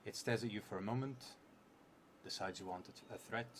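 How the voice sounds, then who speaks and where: A man in his thirties, a non-native English speaker, playing a solo tabletop game, reading prompts and thinking aloud in a halting, muttering way. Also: on the low side. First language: not English; English is a third language. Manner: halting, muttering to himself while writing, clearer when reading aloud. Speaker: solo tabletop roleplay streamer. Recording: clearly noisy stream audio.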